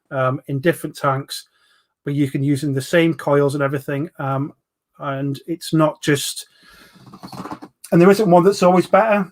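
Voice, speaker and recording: A man in his forties with a London accent, hosting a casual live stream, chatting and reading out viewer comments with lots of ums. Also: on the high side. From England. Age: 40s. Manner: chatty, rambling, full of ums, banters with viewers. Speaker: livestream host reviewing vape juices.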